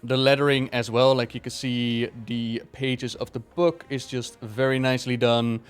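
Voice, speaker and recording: A man's voice: medium pitch, little pitch movement, moderate pace, smooth, soft background music. A man chatting casually and enthusiastically as he reviews something for viewers, rambling a little and stressing his praise. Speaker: video creator reviewing a book.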